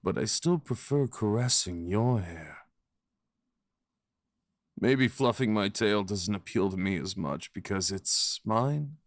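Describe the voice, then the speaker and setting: A man playing a devoted, possessive fox spirit husband in an intimate ASMR roleplay, rambling softly and tenderly with murmured endearments. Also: on the low side, expressive, takes it slowly, dry recording, clean studio sound. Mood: doting, playful.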